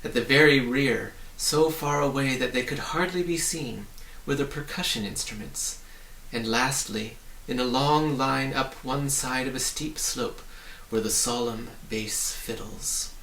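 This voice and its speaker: A man's voice: mid-range voice, unhurried, a bit bright. A man reading a children's story aloud as scripted audiobook narration. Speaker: audiobook reader. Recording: roomy, distant, faint hiss.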